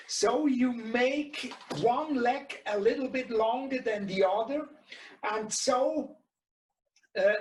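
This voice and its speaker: A middle-aged man with a Swiss German accent and German as his native language, presenting an informal tutorial in halting English, searching for words. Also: very high voice. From Switzerland. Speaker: webinar presenter and radio shop co-owner. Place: small indoor radio workshop. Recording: echoey room, distant mic.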